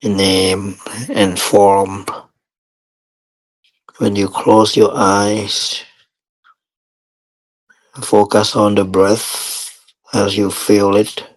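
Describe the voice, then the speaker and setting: A man teaching guided meditation in short, measured phrases with long pauses between them. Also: lowish voice, monotone, poor audio.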